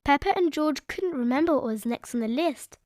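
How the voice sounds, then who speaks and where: A woman narrating a children's read-aloud story in an expressive, measured storytelling manner. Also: high voice, no room sound, clean studio sound.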